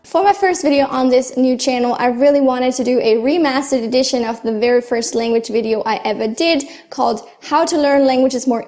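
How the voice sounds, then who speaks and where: A young woman shares her language-learning advice to camera. Her voice sits high, and she talks in an earnest, chatty way with easy fillers and small laughs. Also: a little mellow. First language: German. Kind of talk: casual talk to camera.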